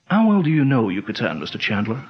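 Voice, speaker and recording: A calm, commanding pulp hero. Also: male voice, mid-range voice. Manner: measured, authoritative. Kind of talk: 1940s radio acting. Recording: dull lo-fi old-radio sound.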